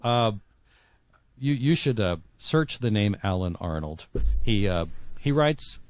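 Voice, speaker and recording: Deep-voiced man, halting and reflective. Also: expressive, no echo. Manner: halting, repeats words while searching for phrasing. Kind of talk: reflective interview conversation.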